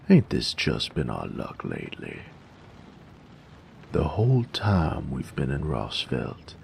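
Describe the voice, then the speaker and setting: A man playing a weary, sardonic knight in a fantasy audio drama, grumbling and teasing in a gravelly, folksy drawl. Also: a little hiss or hum, heavily acted. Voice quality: gravelly, very deep.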